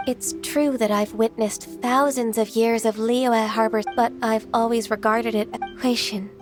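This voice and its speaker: Lady doing a soft-mannered anime game secretary, kinda high, very proper n dutiful, music under it. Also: steady tone, lightly acted. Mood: earnest resolve. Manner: polite, measured, formal. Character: gentle, dutiful, formal ancient female secretary.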